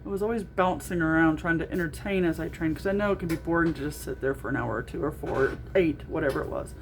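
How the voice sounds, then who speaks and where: A middle-aged woman with a naturally loud voice, talking through a casual video diary with lots of ums and restarts. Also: low-pitched, fast, a little hiss or hum. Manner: rambling, with frequent ums and restarts. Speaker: vlogger.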